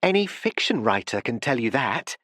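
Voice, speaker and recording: A man reading an audiobook, voicing a nervous, agitated young woman who speaks in a high, hurried way. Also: sing-song, fairly bright tone, no echo, studio quality.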